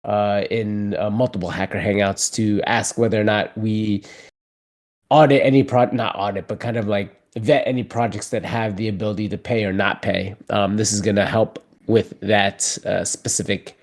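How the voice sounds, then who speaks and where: A man's voice: on the low side, normal speed. A man hosting a community call in a casual, loose way, with lots of fillers and restarts. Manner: relaxed, many fillers and restarts. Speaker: community host.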